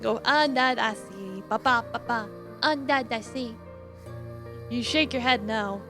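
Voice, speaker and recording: A woman live streamer reading a story game aloud in an expressive, theatrical way, with casual asides. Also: a little high, measured pace, over music. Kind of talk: dramatic read-aloud of visual novel with casual asides.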